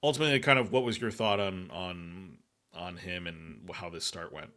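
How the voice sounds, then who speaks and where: Bright-voiced male podcast host. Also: low voice. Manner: halting, many fillers and restarts. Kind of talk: casual analytic chat.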